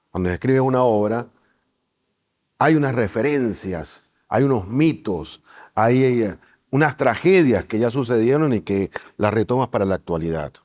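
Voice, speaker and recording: A man hosting a conversational interview, thinking aloud in short, halting phrases. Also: lowish voice, a little mellow. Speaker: interviewer, host.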